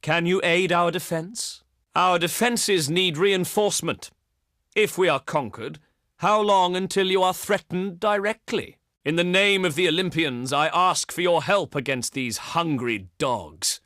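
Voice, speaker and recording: Haughty ancient Greek diplomat, video game, highish voice, formal, eloquent, dramatic pauses, dry close sound. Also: male voice, pushed and bright, no room sound. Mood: earnest pleading. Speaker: game voice actor.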